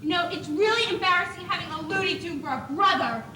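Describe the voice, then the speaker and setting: A woman's voice: very high-pitched, fast talker. A young woman playing a perky, teasing baby doll sister with a cheeky manner in an amateur stage comedy. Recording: far, echoey, noisy stage videotape.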